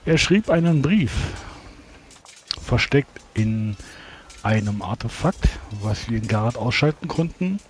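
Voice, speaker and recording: A man playing a courteous, formal battle mage who speaks politely and haltingly. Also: medium pitch, animated, slight background noise, lightly acted.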